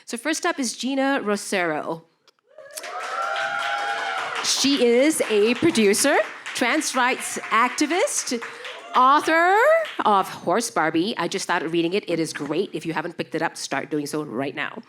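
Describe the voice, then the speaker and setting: A woman's voice: medium pitch, expressive, unhurried, background noise. A woman who was born in Indonesia and grew up in Singapore, introducing a panel on a festival stage with an upbeat, fact-listing manner and many fillers. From Indonesia, raised in Singapore. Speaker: panel moderator. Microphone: stage microphone.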